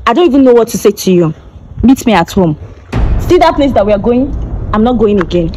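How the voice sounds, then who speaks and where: A woman plays an aggrieved young Nigerian wife in an improvised skit. Her voice is high and swings widely as she accuses with emphatic repetition. Faint noise sits behind her. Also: animated, a little fast, dark tone, lightly acted. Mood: angry, hurt. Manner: emphatic, repeats herself.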